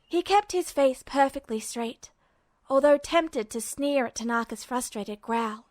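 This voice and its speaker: A woman narrating a fan fiction audiobook in a steady storytelling manner. Her voice sits fairly high. The recording is dry and close, with no room sound. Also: unhurried, no echo. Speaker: podfic reader.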